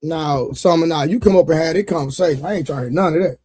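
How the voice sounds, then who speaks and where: A hoarse man hosting a live panel stream, talking in loose, teasing slang. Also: fairly high pitch, quick pace, dark tone, no echo. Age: adult with an eleven-year-old son. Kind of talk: casual live-stream banter.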